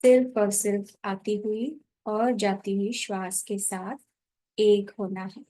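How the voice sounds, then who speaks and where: Slow-paced woman guiding a meditation. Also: medium pitch, flat delivery, dark tone, poor audio. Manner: unhurried, instructing in short pausing phrases. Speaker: meditation guide. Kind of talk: guided meditation instruction.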